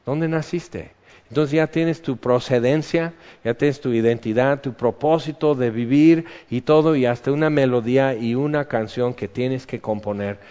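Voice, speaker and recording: A man's voice: mid-range voice, moderate pace, mellow, smooth. Man preaching in a hall. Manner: conversational, reads psalm verses aloud. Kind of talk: sermon, Bible teaching. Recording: dull reverberant hall recording.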